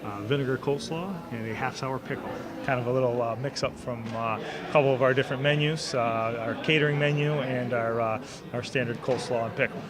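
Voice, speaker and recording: A man describes his dishes in a relaxed but halting way, with lots of uhs. A busy crowd chatters behind him. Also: mid-range voice. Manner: hesitant, many uhs. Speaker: restaurant owner. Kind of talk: casual interview answers.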